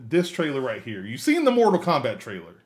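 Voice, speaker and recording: A man with a medium-pitched voice hosts a podcast. He talks casually and conversationally, with an enthusiastic manner as he addresses his co-host and listeners. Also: huge pitch swings, a little fast. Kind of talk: casual chat.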